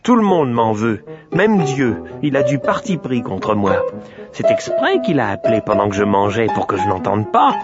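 A man's voice: medium pitch, very animated, normal speed, highs cut off, over loud music, close to an everyday voice. A man voicing a whiny, greedy little male piglet who pleads and sulks. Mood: sulking. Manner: whining, pleading, sulky.

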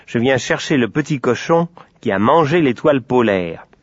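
A man's voice: mid-range voice, animated, normal speed, no room sound, muffled recording, natural voice. A man voicing the sun as a firm, kindly and self-assured visitor in a children's story. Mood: insistent.

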